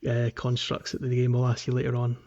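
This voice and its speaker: A man's voice: mid-range voice, monotone, fast, a little mellow. A man co-hosting a podcast, discussing a game casually in a hesitant, explanatory way with meandering phrasing. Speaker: podcast co-host. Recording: dull, band-limited voice recording.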